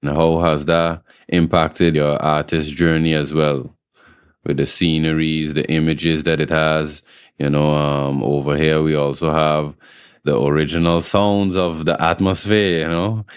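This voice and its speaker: A man's voice: rumbling low, little pitch movement, a little slow, smooth, no echo. Male interviewer, very clean resonant tone, conversational questioning, dry recording.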